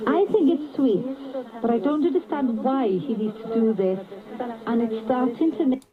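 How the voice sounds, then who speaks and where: A woman radio presenter talks in a playful, chatty way. Her voice is fairly high and comes through a tinny, phone-like radio with crowd noise behind. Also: normal speed.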